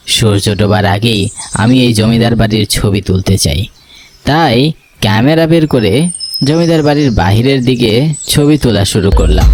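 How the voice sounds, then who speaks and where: Nervous, curious young male lead , male actor, first-person horror cartoon narration, mid-range pitch, light music bed. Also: natural voice. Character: nervous, curious young male photographer telling his ghost story.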